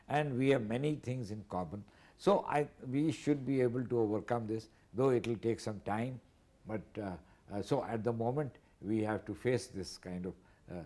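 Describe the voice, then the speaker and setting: A man, speaking as a guest analyst on a TV panel in a measured, hesitant way with many fillers. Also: mid-range voice, animated, a little mellow. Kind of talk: TV panel discussion.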